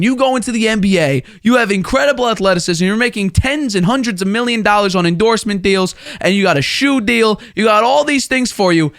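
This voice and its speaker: A man's voice: high-pitched, a bit bright, no echo, clean studio sound. A twenty-year-old man hosting a sports show, giving conversational, opinionated commentary and repeating phrases for emphasis. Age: 20 years old.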